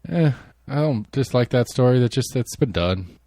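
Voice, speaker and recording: A man's voice: mid-range voice, lively intonation, moderate pace, warm tone, dry recording, studio quality. A man co-hosting a podcast in casual chat, laid-back and joking, swearing freely. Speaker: podcast co-host.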